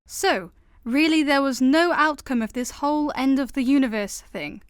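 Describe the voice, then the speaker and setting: A woman's voice: high voice, normal speed, dry recording, studio quality, close to an everyday voice. A woman with a Scottish accent acting a scheming, snide newspaper reporter in a comic radio play. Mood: dry. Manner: theatrical, drily snide.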